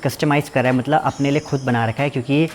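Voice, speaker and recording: A man's voice: medium pitch, steady tone, slight background noise. A young man from Uttarakhand, chatting informally as a vlogger in his village home. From Uttarakhand, India. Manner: quick, repetitive, informal, self-explaining. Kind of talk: casual family vlog chat.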